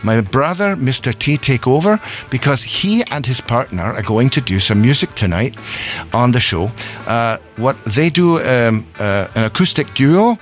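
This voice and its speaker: A man's voice: mid-range voice, animated, moderate pace. A man from Scotland hosting a live radio show in a hot studio, chatting loosely with frequent chuckles and restarts, with faint music. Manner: rambling, chuckling, self-correcting. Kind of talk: casual live radio chat.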